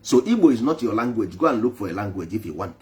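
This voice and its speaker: A man's voice: on the low side, big pitch swings, fast talker. A man preaching emphatically to a live online audience, repeating his points for force. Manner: emphatic, repetitive, switching between English and Igbo. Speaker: traditional spiritual speaker.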